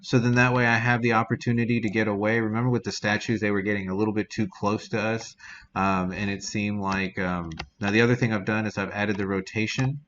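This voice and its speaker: A man giving a casual software tutorial as an instructor, explaining in an informal, rambling way. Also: fairly low pitch, little pitch movement, a little fast. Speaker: game development instructor. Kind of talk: casual screen-recorded software tutorial walkthrough. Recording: dull lo-fi mic with background noise.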